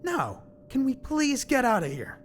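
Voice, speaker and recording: A man's voice: very high-pitched, huge pitch swings, unhurried, a little hiss or hum, exaggerated voice. A man playing a cocky, hot-tempered teenage furry animal boy in an audio drama, brash and sarcastic. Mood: smug.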